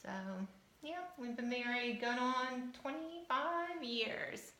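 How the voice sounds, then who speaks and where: A woman's voice: high voice, takes it slowly, pushed and bright, slight background noise. An American woman of fifty chatting casually and informally, as if introducing herself for an audition. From Southern Ohio, United States. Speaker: house-hunting show applicant. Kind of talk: casual interview-style chat to camera. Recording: echoey room.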